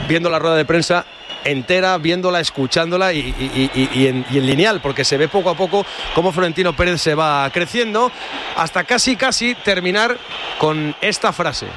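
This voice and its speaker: Higher-pitched Spanish man hosting sports radio. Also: noisy background. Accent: Spanish, from Spain. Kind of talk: live sports talk commentary.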